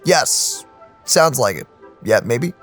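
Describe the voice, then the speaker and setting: Casting: excitable game streamer, casual rambling reactions, lively mid-range voice, faint music underneath. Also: medium pitch, slow. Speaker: gaming video host.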